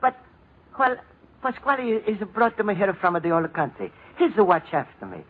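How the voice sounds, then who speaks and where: A really high lilting italian immigrant fella, shy n stammery, old tinny radio comedy. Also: male voice, sing-song, normal speed. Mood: hesitant, polite. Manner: hesitant, stammering, broken English. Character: timid, good-hearted Italian immigrant man.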